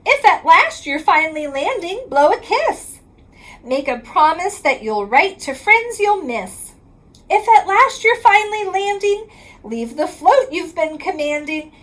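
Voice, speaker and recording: Very high-pitched woman reading a picture book. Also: big pitch swings, fairly bright tone. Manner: sing-song rhyming delivery, drawn-out line endings. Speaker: reading specialist reading aloud to children. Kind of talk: picture book read-aloud.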